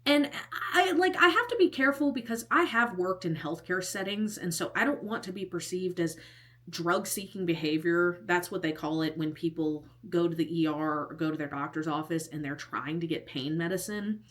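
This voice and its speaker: A woman's voice: fairly low pitch, normal speed, fairly bright tone. A woman in her thirties telling a personal story in a casual, rambling way, full of "like" and the odd whispered aside. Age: 30s. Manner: chatty and rambling, heavy use of "like", with whispered asides. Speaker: storytime vlogger.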